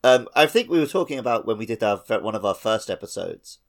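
A man with a fairly low voice co-hosts a gaming podcast. He is chatty and casual, and he drifts happily into tangents as he talks. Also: expressive, quick pace. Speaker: podcast co-host.

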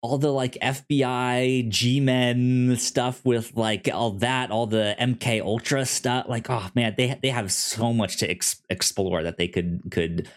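Guy from the us on a movie podcast, mid pitch, stammers and repeats first sounds a lot. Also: fairly even intonation, a little slow, few pauses. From United States. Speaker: podcast co-host discussing films. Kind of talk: casual film discussion.

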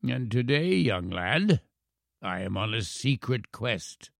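A man voicing a frail, eloquent English professor in his eighties, formal, halting and playfully teasing, in a storybook reading. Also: mid-range voice, big pitch swings, gravelly, no echo, studio quality, exaggerated voice. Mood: playfully secretive. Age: 80s. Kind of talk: storybook character voice.

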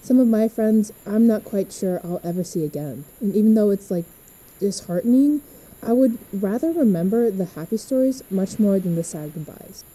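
Husky, very mellow teenage girl. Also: mid-range voice, animated, moderate pace, rough recording. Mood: reassuring, upbeat. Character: reassuring, upbeat teenage girl, a school friend. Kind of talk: audio drama acting.

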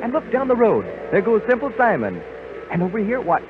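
A man playing an excited, friendly guide, animated and full of wonder, in old radio drama style. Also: high-pitched, brisk, music in the background. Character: excited, friendly man narrating a magic vision. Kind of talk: 1930s radio drama acting. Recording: tinny, very noisy old radio recording.

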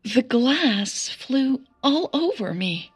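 Frightened young woman, acted by a female narrator, audio drama. Slow, halting delivery, husky and high. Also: sing-song, soft background music, heavily acted. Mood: shaken. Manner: halting, shaky. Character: frightened, shaken young woman recounting an attack.

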